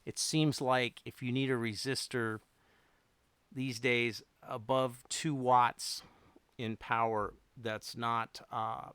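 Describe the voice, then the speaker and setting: Clear-voiced man, halting casual chat. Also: medium pitch, flat delivery, fairly bright tone, dry recording. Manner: halting, rambling, thinking aloud. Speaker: radio repair hobbyist hosting a livestream. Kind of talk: casual unscripted livestream chat.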